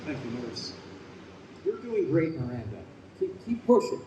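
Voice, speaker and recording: An actor playing an earnest doctor in a delivery room, encouraging and dramatic, in old-time radio style. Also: male voice, fairly high pitch, sing-song, unhurried, warm tone, recorded from a distance. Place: live performance in a convention room. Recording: dull echoey room recording, faint noise.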